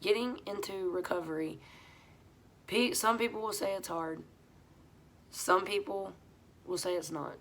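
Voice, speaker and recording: A woman, hoarse from a head cold, telling her recovery story in a blunt, profane, confessional way. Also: mid-range voice, a little slow, pauses often. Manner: blunt and profane, talks straight to viewers; talks over her hoarseness, voice pushed a little louder than usual. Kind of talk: casual confessional monologue.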